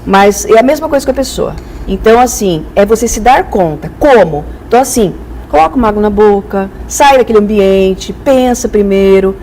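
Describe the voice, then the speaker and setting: A woman's voice: medium pitch, animated, normal speed, darkish tone, a little hiss or hum, low-quality recording. A Brazilian woman speaking as an expert guest in a conversational, didactic way, illustrating with acted-out examples. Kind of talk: conversational explanatory interview.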